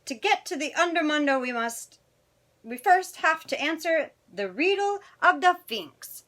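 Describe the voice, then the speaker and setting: A woman's voice: sits high, very animated, a little slow, fairly bright tone, voice pushed up, heavily acted. A woman voicing playful, bossy little male Chihuahuas in a storybook, theatrical and sprinkled with mock Spanish. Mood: bossy, urging.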